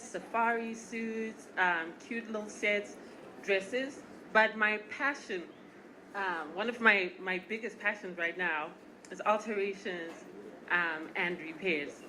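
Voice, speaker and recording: A woman's voice: medium pitch, bright, forceful tone, a little hiss or hum, low-quality recording. A woman fashion entrepreneur talking casually about her work at a live event, with lots of ums and small laughs. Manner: chatty, many ums, laughs a little. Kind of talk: casual onstage talk. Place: event hall at a live-streamed panel.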